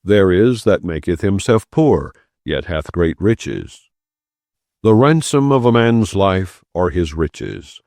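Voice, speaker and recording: A man giving a solemn, non-dramatized audiobook reading of scripture in a measured, formal, deliberate way. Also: low-pitched, a little mellow, dry recording, studio quality.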